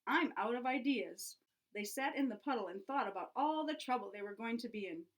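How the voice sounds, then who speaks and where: A woman reading a picture book aloud to children in an expressive, teacherly storytelling way, engaging her young listeners. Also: on the high side. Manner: animated storyteller, pauses to ask young listeners questions.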